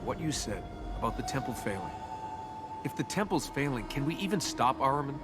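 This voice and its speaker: Cocky adventurer played by a man, animated delivery. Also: mid-range voice, brisk, over loud music, lightly acted. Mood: sardonic, doubtful. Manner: sarcastic, flippant, teasing. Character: cocky, wisecracking young male adventurer and tomb robber. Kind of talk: video game voice acting, conversational banter.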